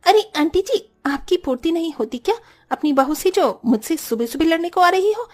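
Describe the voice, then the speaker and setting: A woman's voice: extremely high pitch, lively intonation, moderate pace. A woman voicing a defensive, quarrelsome young housewife in a cartoon story, with a complaining, argumentative manner.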